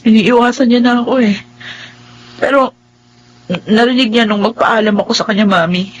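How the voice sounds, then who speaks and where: A woman's voice: fairly high pitch, steady tone, normal speed, natural voice, low-quality recording. An actress playing a remorseful, earnest young single mother in a radio drama, with a Filipino accent. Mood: sad, resolute. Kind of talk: radio drama acting and narration.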